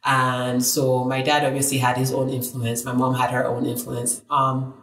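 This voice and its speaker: Woman telling lively stories. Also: a bass voice, normal speed, roomy sound, low-quality recording. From Nigeria. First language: Yoruba. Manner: animated storyteller, acts out voices in her tales. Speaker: podcast guest. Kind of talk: casual storytelling interview.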